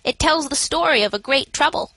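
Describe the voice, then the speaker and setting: A solicitous middle-aged matron, voiced by a woman reading aloud, gently coaxing a stranger with concern. Her voice sits noticeably higher than plain speech. The sound is dry and close, with no room around it. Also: lively intonation, a little fast, no echo, exaggerated voice. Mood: concerned, kindly.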